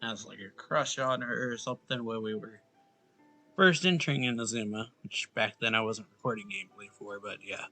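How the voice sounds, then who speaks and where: Guy streamer chatting over a game, bright voice with lots of fry, offhand, faint music. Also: on the low side, moderate pace. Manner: offhand, rambling. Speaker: gaming streamer. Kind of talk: casual let's play commentary.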